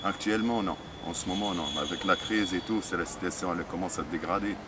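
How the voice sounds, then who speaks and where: Deep-voiced Algerian man, halting speech. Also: fairly even intonation. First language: Arabic. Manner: halting, with many fillers and restarts. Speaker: interviewee. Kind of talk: casual interview answers. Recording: distant mic with street noise.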